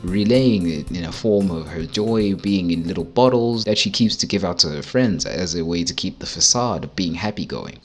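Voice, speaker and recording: A man narrating a casual, comedic video game recap in an irreverent, wry way. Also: on the low side. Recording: music behind the voice.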